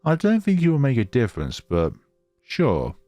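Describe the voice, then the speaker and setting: A man's voice: mid-range voice, wildly swooping pitch, moderate pace, dark tone, no room sound, soft background music. A man voicing a lonely young female snow spirit in a visual novel, wistful and hesitant, with a melancholy manner. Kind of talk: visual novel character reading.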